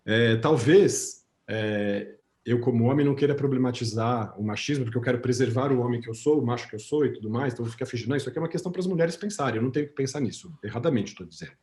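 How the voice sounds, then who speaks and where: A man with a Brazilian Portuguese accent speaking on a video call, reflecting slowly in conversation, with many pauses and restarts. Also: medium pitch, darkish tone.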